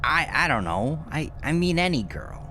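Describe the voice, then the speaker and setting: A man voicing a cocky, fast-talking male hustler with a stammering, profane, earnest manner in a horror audio drama. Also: on the high side, sing-song, pushed and bright, slight background noise, pitched above the performer's own voice. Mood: flustered, defensive.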